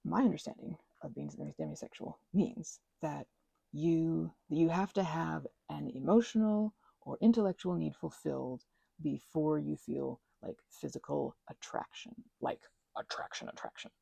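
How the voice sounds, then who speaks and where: A woman hosting an ASMR channel, rambling in a chatty, giggly way with lots of fillers and a breathy voice that slips into whispers. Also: deep voice, normal speed, dark tone, no echo. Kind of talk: soft-spoken rambling chat.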